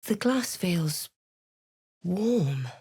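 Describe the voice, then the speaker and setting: A woman voicing a snarky, proud female adventurer and spellcaster in a fantasy video game, with crisp, theatrical delivery. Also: lowish voice, expressive, very slow, a little mellow, no echo, studio quality, exaggerated voice. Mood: wary, curious. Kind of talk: scripted game character lines and narration.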